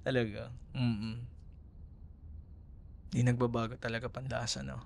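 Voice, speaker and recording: A man's voice: mid-range voice, level tone, measured pace, gravelly, a little mellow. A man with a Filipino accent acting a friendly, teasing ex-boyfriend in an intimate, casual audio roleplay, sometimes hesitant in his phrasing. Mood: teasing, fond. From Philippines.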